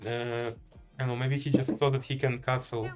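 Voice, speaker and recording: A man's voice: mid-range voice, flat delivery, moderate pace, a little mellow, faint music behind. A man from Ukraine with a Ukrainian accent, giving casual live game commentary and chatting to viewers in a hesitant, thinking-aloud way. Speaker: live streamer playing chess.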